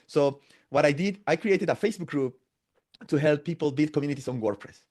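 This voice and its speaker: A man's voice: on the high side, fairly quick, a little mellow. Man telling his story. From Latin America. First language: Spanish. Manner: restarts a lot, tags phrases with "no?" and "right?". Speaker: podcast guest. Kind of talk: casual interview storytelling.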